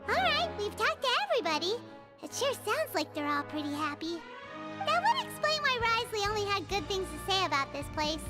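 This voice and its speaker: A woman voicing a small, cheeky female fairy companion in a video game, with a squeaky, childlike voice. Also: big pitch swings, brisk. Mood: chirpy. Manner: speaks of self in third person. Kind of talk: cartoonish video game voice acting. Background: prominent music.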